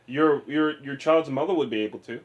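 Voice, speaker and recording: A man's voice: mid-range voice, brisk, highs cut off. Casual profane young man. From upstate New York. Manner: loose, profane, keeps saying "know what I'm saying". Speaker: podcast co-host. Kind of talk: casual chat.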